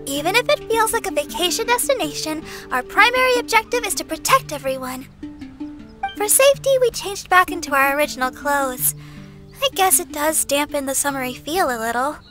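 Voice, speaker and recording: A woman's voice: high voice, animated, brisk, music in the background. A woman voicing a cheerful, earnest little girl knight in a video game, with an eager, childlike voice. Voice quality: childlike, girlish.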